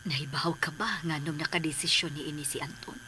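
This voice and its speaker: A woman playing an earnest middle-aged mother in a radio drama, speaking with breathy emotional emphasis. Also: low-pitched, brisk, bright, forceful tone, a little hiss or hum. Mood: grave, confiding. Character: earnest, pleading middle-aged mother, protective of her sons.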